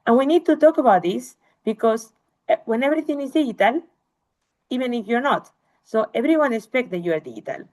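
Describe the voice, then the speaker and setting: A woman's voice: a little high, expressive, brisk, a little mellow. A woman researcher giving an academic webinar lecture, explaining slides to listeners.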